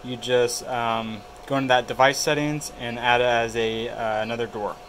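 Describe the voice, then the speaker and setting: A man with a mid-pitched voice presents a hands-on product walkthrough. He explains things casually and plainly, one step at a time. A faint air-conditioning hum sits behind him. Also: little pitch movement, moderate pace, fairly bright tone. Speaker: tech tutorial presenter.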